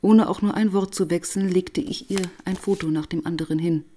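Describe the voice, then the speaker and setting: An actress as a female police inspector in a radio crime play, with a lowish, mellow voice. She is measured, dry and probing, reflective and matter-of-fact in how she tells things. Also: fairly even intonation, slight background noise, natural voice. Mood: reflective narration. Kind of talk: German radio crime drama with first-person narration.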